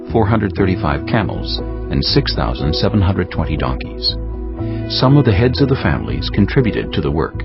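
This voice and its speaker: A man narrating scripture for an audiobook in a measured, formal manner. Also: low voice. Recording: dull lo-fi audio under prominent music.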